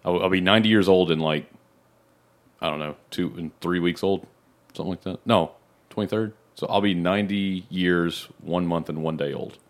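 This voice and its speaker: A man in his thirties with a very low voice, chatting loosely on a podcast. He swears a lot, laughs easily and rambles through his points. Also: moderate pace. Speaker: podcast co-host. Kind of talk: casual chat.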